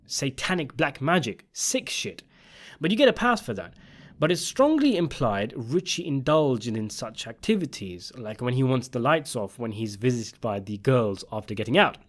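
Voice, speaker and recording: A man delivers scripted opinion commentary in a mid-pitched voice. His intonation is very lively, rising and falling widely as he makes his points. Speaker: video essay narrator.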